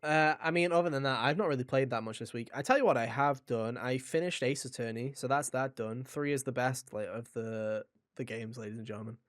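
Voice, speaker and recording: A man's voice: medium pitch, fairly quick, few pauses, no room sound. Man chatting casually, close dry sound. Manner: enthusiastic, informal, swears. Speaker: podcast co-host. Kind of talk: casual video game podcast chat.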